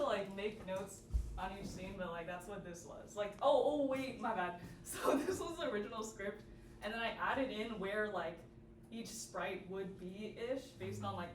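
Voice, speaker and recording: A young woman giving a casual, chatty student workshop presentation. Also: mid-range voice. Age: young adult. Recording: echoey, noisy room, distant mic.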